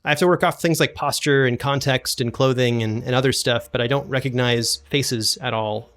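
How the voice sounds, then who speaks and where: A man's voice: mid-range voice, steady tone, moderate pace, a bit bright. A man speaking as a podcast guest in a casual interview, often doubling back and repeating his words hesitantly. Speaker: podcast guest, audio drama producer and sound designer.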